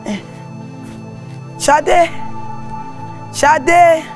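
A woman's voice: sits high, extremely slow, faint music behind. A woman playing a tearful pleading Nigerian mother. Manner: pleading, repeating herself. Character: distraught mother begging her silent daughter to eat. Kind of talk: comedy skit acting.

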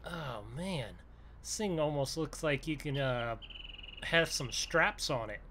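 A man with a slightly high voice plays a farmer in a gaming video. He talks slowly and ramblingly, in a folksy way, thinking out loud. Engine and vehicle noise runs clearly behind him. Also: lively intonation. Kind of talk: improvised roleplay narration as a farmer. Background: game engine and vehicle sounds.

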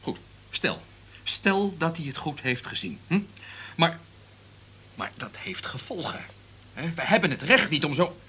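An actor playing a flustered, indecisive radio executive in a Dutch radio drama, agitated, hesitant and repeating himself. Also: male voice, fairly high pitch, very animated, measured pace, long pauses, sharp tone, slight background noise, exaggerated voice. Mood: hesitant, uneasy.

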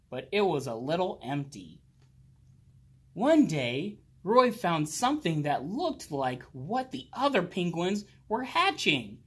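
Male voice, high for a man, husky, very lively intonation, unhurried picture-book read-aloud. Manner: slow, animated, with drawn-out words and pauses. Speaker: children's storybook reader. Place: indoors, library with bookshelves.

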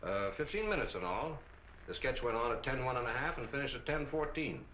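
An actor playing an obliging, businesslike older radio executive in a 1940s film. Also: male voice, mid-range voice, lively intonation, fairly quick, pushed and bright. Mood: explanatory. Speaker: radio station manager. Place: furnished office film set. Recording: muffled, echoey, hissy old film soundtrack.

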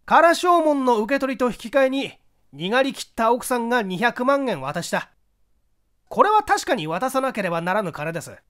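A man as an eager, animated newspaper reporter. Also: extremely high pitch, brisk, voice pushed up, full character voice. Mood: excited, assertive. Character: hasty, confident newspaper reporter laying out deductions.

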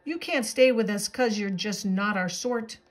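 A woman's voice: medium pitch, measured pace, close to an everyday voice. A woman voicing stern, disapproving moose friends in a children's storybook, indignant in manner.

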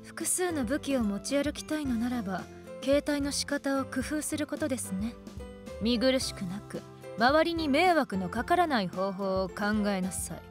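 A woman's voice: high voice, animated, moderate pace, over music. A woman playing a strict, composed magic-academy teacher with coolly menacing politeness and precise scolding, in an anime-style radio drama. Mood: strict, instructive.

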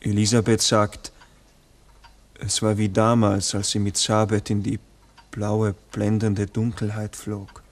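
Lowish monotone man, unhurried, sober witness, factual, 1960s radio drama. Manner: flat, factual reporting. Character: sober, matter-of-fact male witness reporting. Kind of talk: 1960s radio play dialogue. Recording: 1960s mono radio recording.

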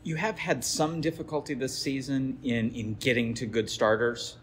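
A man's voice: mid-range voice, steady tone, normal speed, a little hiss or hum. A man working as a reporter, putting unscripted questions in an interview.

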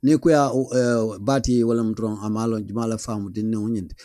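A man's voice: fairly low pitch, level tone, fairly quick, dark tone, no room sound. An older man from The Gambia presenting the daily news at a studio desk, reading newspaper stories aloud and slipping English phrases into his talk. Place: small studio desk indoors. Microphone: studio mic on a boom arm, close.

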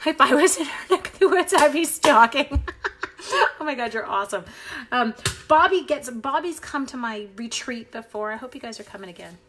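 A woman's voice: high-pitched, expressive, moderate pace, fairly bright tone, a little hiss or hum. A middle-aged woman from Canada, chatty and upbeat, leading a live card-making tutorial at her craft desk while she talks with viewers.

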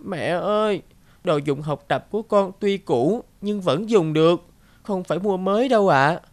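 A man's voice: high-pitched, moderate pace, close to an everyday voice. A man voicing an earnest, polite, affectionate little boy in a cartoon. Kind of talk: cartoon dub acting.

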